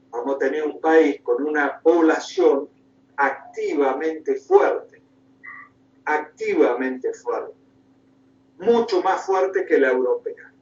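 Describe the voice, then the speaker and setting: A man with an Argentine Spanish accent giving an impassioned political lecture, emphatic and oratorical, with repeated phrases and rhetorical questions. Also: a little high. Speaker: guest lecturer, political activist. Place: indoor room, recorded at a conference. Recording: roomy, distant mic, dull sound.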